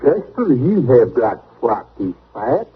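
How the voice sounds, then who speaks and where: A wild, countrified Texan backwoodsman for an old radio western. His very husky voice swoops hugely as he makes blunt, self-assured pronouncements. The recording is narrow and muffled. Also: medium pitch, very animated, takes it slowly, exaggerated voice.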